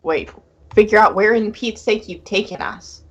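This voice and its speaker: A woman's voice: medium pitch, lively intonation, moderate pace, a bit bright, husky. A woman voicing a bossy, easily flustered talking bird in a comic fandub, on a dull lo-fi recording. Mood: indignant. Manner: indignant, flustered. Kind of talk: comic fandub character reading.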